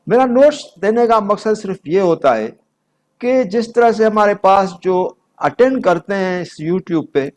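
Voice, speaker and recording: A man with a Pakistani accent giving an instructional law lecture in a methodical, explanatory way. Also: sits high. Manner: methodical, explanatory, switching between two languages. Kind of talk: instructional lecture mixing explanation with read-out notes.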